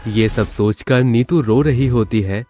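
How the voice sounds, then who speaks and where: A man's voice: medium pitch, a little slow, warm tone, slight background noise. A man narrating a scripted children's story as the storyteller.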